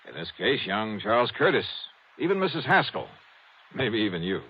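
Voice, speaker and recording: An actor with an American accent playing a shrewd, skeptical insurance investigator in a 1950s radio mystery, clipped and wry. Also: male voice, on the low side, very animated, moderate pace. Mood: puzzled, resolved. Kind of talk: 1950s radio detective acting and narration. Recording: dull vintage radio recording, faint noise.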